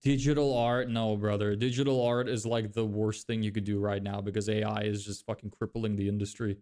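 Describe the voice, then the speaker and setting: A man's voice: fairly low pitch, flat delivery, fairly quick, a little mellow. Blunt man streaming live. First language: Lithuanian. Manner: loose and blunt, swears, many fillers and restarts. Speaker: livestreamer reading chat questions. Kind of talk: casual live-stream chat.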